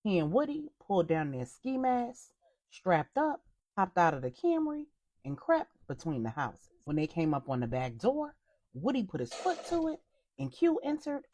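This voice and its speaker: A woman storyteller reading a scripted crime story with expressive delivery. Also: mid-range voice, dry recording.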